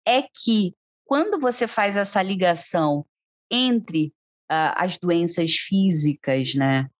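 A woman's voice: fairly low pitch, lively intonation, takes it slowly, no echo. A woman with a Brazilian Portuguese accent co-hosting a podcast, explaining points at length and often turning questions to her co-host. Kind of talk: conversational podcast talk.

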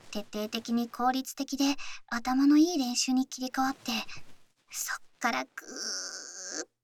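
Woman acting high-voiced, teasing athlete girlfriend. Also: no room sound. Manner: soft, slow, often whispered, gently teasing. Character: affectionate, teasing young female athlete, devoted girlfriend. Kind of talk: intimate Japanese situation voice drama, ASMR. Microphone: close-up studio mic, dry.

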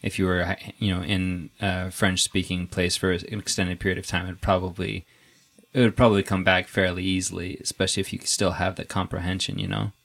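A young man with a low voice and a gravelly, creaky edge leads a casual interview. He talks in a relaxed, easygoing way, restarting sentences as he thinks. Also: brisk.

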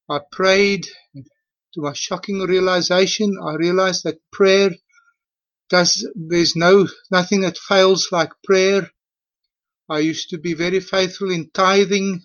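A man in his late fifties with a South African accent, leaving an earnest, halting and emphatic personal testimony as a voicemail. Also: high-pitched, steady tone. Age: late 50s. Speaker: voicemail caller. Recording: dull lo-fi voicemail audio.

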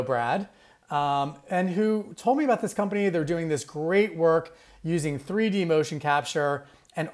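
Co-host: man, fairly high voice, chatty and excited. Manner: enthusiastic, chatty. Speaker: podcast co-host. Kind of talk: casual podcast chat.

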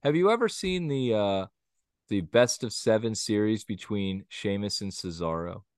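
A man's voice: lowish voice, animated, normal speed, clean tone, no echo, clean studio sound. A man co-hosting a wrestling podcast, talking in a rambling, opinionated and blunt way, sometimes crude. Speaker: podcast co-host. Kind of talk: casual wrestling podcast chat.

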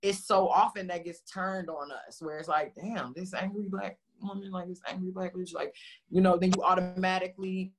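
A woman with a deep, heavy voice speaking passionately as a guest in a casual interview over a video call, full of "like" and "you know". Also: normal speed. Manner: passionate, expressive, many "like" and "you know" fillers.

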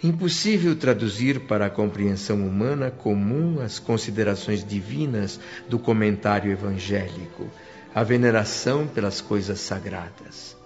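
A man narrating a radio drama in a calm, reverent way, over soft music. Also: lowish voice, moderate pace. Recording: dull lo-fi radio-drama recording.

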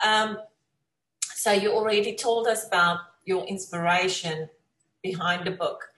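A woman's voice: mid-range voice, unhurried, a bit bright. A woman hosting a conversational interview show, speaking in an effusive, encouraging way. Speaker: talk show host. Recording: echoey room sound.

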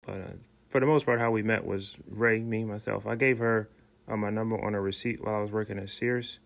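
Gravelly low-voiced man from Chicago. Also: fairly even intonation, a little fast. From Chicago, Illinois. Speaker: interviewee, husband. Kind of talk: casual chat.

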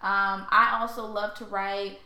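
A woman's voice: medium pitch, level tone, measured pace, pushed and bright. An American woman hosting a conversational podcast interview, speaking in an earnest, reflective and affirming way. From born in Haiti, raised in St. Louis, Missouri, USA. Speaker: podcast host. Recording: roomy, echoey sound.

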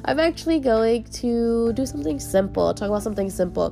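A woman's voice: fairly high pitch, expressive, brisk, gravelly, dark tone, soft background music. A woman hosting her own podcast in casual, bubbly chat, sounding congested and nasal from a cold. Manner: bubbly and chatty, laughs often. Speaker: podcast host.

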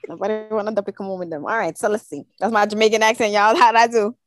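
A woman's voice: medium pitch, steady tone, fast. A woman with a Barbadian accent co-hosting a casual group chat, teasing and blunt.

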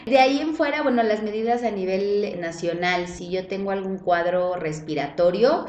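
A woman gynecologist, explaining clinic measures in a relaxed podcast chat and often adding tag questions. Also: mid-range voice, normal speed, muffled recording, slight background noise. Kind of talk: casual podcast conversation. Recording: roomy sound.

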